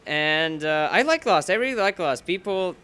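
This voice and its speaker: A man's voice: sits high, lively intonation, moderate pace, pushed and bright, clean tone. A young man from Bulgaria, rambling and cursing casually through off-topic commentary while playing a racing game. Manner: rambling and profane, curses at the game. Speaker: let's play commentator.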